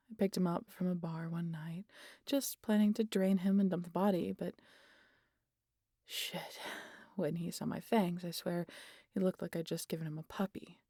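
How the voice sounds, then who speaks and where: A woman playing a wry, guarded vampire who teases and confides in an intimate audio roleplay, casual and sweary, often sinking to a breathy near-whisper. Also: fairly low pitch, dark tone, no room sound, studio quality. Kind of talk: intimate audio roleplay monologue addressed to the listener.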